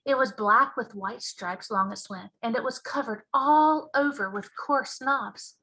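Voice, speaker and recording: Bright, high-voiced woman reading a storybook. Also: measured pace, poor audio. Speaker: teacher reading aloud. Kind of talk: expressive children's storybook narration.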